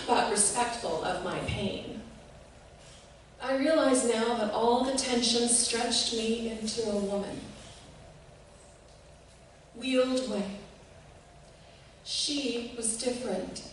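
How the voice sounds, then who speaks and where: Slow, deliberate woman in an echoey room. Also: a little high. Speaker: reader of a short literary piece. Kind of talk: expressive literary reading to an audience. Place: reverberant indoor room. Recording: echoey room, distant mic, faint noise.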